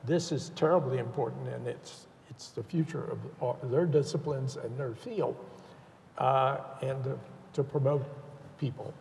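An older man speaking as a panelist in a measured, deliberate way with hesitations, on an auditorium stage. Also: medium pitch, fairly even intonation, vocal fry, a little mellow, slight background noise. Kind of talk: panel discussion. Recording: reverberant auditorium sound.

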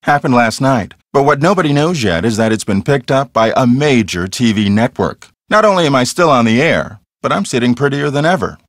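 An animated, self-assured ghost hunter. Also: male voice, mid-range voice, a little fast, few pauses, dry recording, studio quality. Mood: smug, casual. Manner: matter-of-fact, explanatory, conversational. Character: self-assured, earnest male ghost hunter with pseudo-scientific theories. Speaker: voice actor in a mystery game. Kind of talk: acted video game dialogue.

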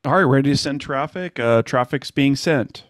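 A man's voice: medium pitch, normal speed, no room sound, clean studio sound. A middle-aged man explaining radio procedures as a co-presenter on a video call. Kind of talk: explanatory talk.

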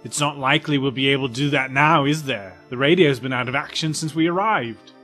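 A man's voice: mid-range voice, fairly quick, bright, forceful tone, faint music behind. A man playing an insistent, loyal spaceship crewman in an audio drama, earnest and emphatic. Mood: urgent.

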